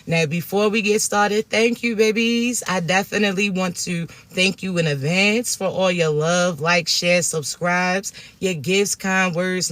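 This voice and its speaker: A man with a raspy voice, a spiritual coach giving a casual, affectionate, reassuring message straight to his viewers. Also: sits high, a bit bright. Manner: affectionate, reassuring, calls listeners "chosen ones" and "babies".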